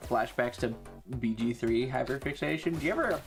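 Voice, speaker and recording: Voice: mid-range voice, brisk, soft background music. A streamer chatting casually and swearing freely while playing a game on a livestream.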